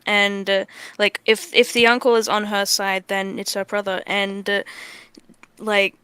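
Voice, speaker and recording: Female creator-director for casual stream discussion. Crisp, forceful, even delivery, earnest and wordy, swears freely, very dry sound. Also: mid-range voice, measured pace, no echo. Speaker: audio drama creator and director.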